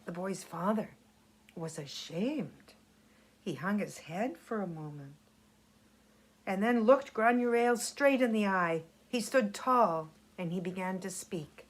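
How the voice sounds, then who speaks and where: A woman reading aloud as a storyteller, in measured, deliberate phrases. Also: mid-range voice, animated. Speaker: storyteller, festival committee member. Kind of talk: read-aloud storytelling and scripted narration.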